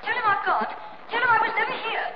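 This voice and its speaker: A woman's voice: extremely high pitch, animated, quick pace, highs cut off. An actress playing a panicky, pleading young woman in a 1940s film melodrama, breathless and melodramatic. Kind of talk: 1940s screen melodrama acting within radio play. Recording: reverberant, very noisy old film soundtrack.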